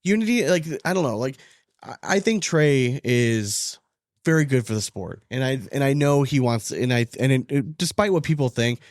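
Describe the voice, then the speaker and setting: A man's voice: mid-range voice, expressive, moderate pace, no echo, studio quality. A man co-hosting a sports podcast in a casual, rambling, informal way, with some swearing. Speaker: podcast co-host.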